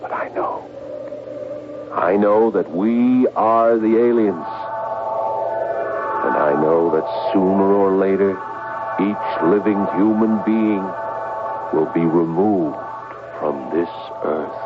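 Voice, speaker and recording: Deep, haunted sanitarium patient. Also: male voice. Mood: grim certainty. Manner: slow, brooding, halting delivery. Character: haunted sanitarium patient, man, grimly certain of doom. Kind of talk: radio drama acting. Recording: muffled old radio broadcast, loud music behind.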